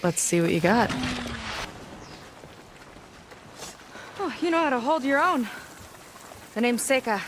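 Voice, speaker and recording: An actress playing a bold young scout in a video game, speaking in a brisk, assertive manner. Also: female voice, a little high, sing-song, slight background noise. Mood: brisk, alert. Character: bold young woman warrior, brisk, self-assured scout. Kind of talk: naturalistic video game cutscene acting.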